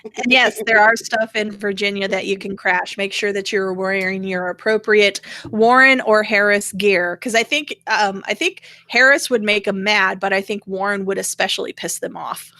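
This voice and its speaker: Podcast co-host: American woman, mid-pitched, opinionated and irreverent, conversational, dry recording. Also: steady tone, moderate pace, pushed and bright, dry recording. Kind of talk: casual political chat.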